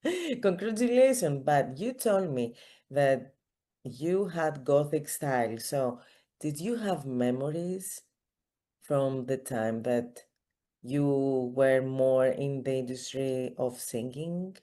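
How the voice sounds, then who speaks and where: A woman's voice: a bass voice, expressive, slow, mellow. A woman hosting a casual interview in an encouraging manner, with non-native English phrasing. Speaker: interview host.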